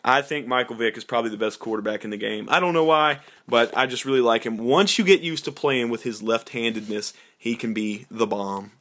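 Man, young adult, bright tone, informal rambling gameplay commentary. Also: medium pitch, fairly quick, few pauses. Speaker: gaming video host.

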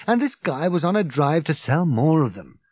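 A man voicing an excitable, fierce, rambling alien man who rants loudly and indignantly. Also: on the high side, normal speed, dry recording, clean studio sound, voice pushed up. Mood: ranting. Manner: loud, indignant ranting.

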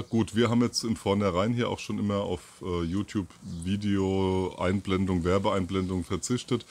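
A man in his fifties giving an informal, halting monologue to camera, thinking aloud with pauses and repeats. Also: fairly low pitch, darkish tone. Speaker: video channel host. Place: outdoors on a terrace or balcony by trees. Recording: outdoor ambient noise.

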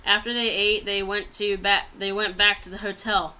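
A woman's voice: mid-range voice, little pitch movement, moderate pace, pushed and bright. A young adult woman reads a written story aloud plainly, stumbling over some words, in a small room at home on a laptop microphone. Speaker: amateur writer reading own story.

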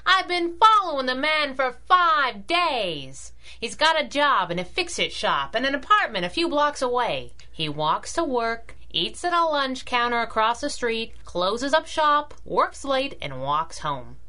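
A woman's voice: high-pitched, sing-song, a little slow, few pauses, pushed and bright, exaggerated voice. A woman playing a plucky, sassy crime-fighting sidekick in a pulp radio drama, with wry, slangy, wisecracking delivery. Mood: matter-of-fact. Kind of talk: 1930s-style pulp radio drama acting.

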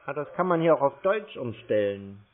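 A man on a phone call, slow and muddled, stubbornly complaining to the caller. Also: medium pitch, very animated. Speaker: call recipient stringing scammers along. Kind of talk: prank phone call with scammers. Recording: tinny, band-limited phone line.

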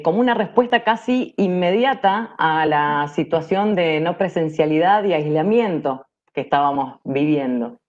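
A woman's voice: mid-range voice, a little mellow. A woman with an Argentine accent giving a prepared presentation over a video call. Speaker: workshop presenter. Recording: dull lo-fi video-call audio.

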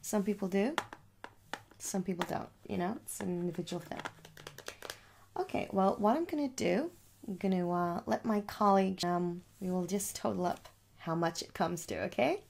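Young woman, gravelly fry, reassuring shopkeeper, ASMR roleplay, conversational, faint background noise. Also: medium pitch, moderate pace, a little mellow. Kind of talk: ASMR makeup store roleplay, soft casual sales chat. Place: small room at home.

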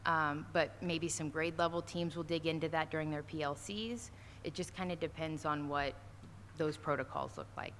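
A woman's voice: low-pitched, fairly even intonation, normal speed, fairly bright tone. A woman administrator answering questions at a school board meeting, casual with many fillers, at a podium mic in a large auditorium. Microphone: standing podium mic from a far camera. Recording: echoey hall, faint noise.

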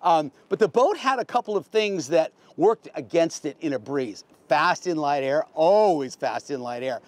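American male tour presenter, higher-pitched, folksy and unscripted, explanatory delivery, very dry sound. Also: lively intonation, moderate pace, dry recording. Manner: plain-spoken, folksy, explanatory. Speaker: boat reviewer, veteran sailor. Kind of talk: casual unscripted boat tour talk.

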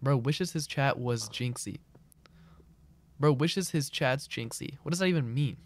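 A man's voice: mid-range voice, unhurried. A young man streaming a game, reading viewer comments aloud in slangy casual chat and getting exasperated with his chat. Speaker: gaming streamer.

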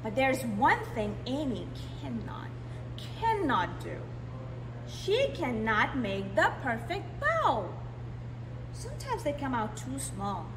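Female storytime reader, unhurried and expressive, for a children's picture book; roomy recording with noticeable background noise. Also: sits high. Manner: expressive, drawn-out emphasis on key words. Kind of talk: animated picture-book read-aloud for young children. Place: indoors in a library, seated.

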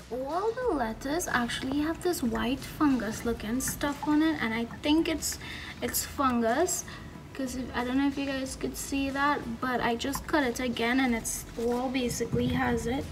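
A woman in her twenties with a Trinidadian accent, casually narrating a home cooking vlog as she works. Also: high voice. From Trinidad and Tobago. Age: 20s. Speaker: vlogger. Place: home kitchen, indoors. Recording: noisy kitchen, distant microphone.

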